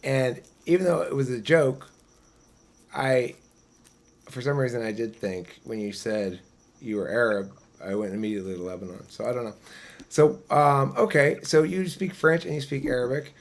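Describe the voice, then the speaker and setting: A man's voice: mid-range voice, moderate pace, gravelly. A man speaks in a casual chat, opinionated and easygoing, and he swears freely. He argues his views in a loose, rambling way. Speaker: debater in conversation.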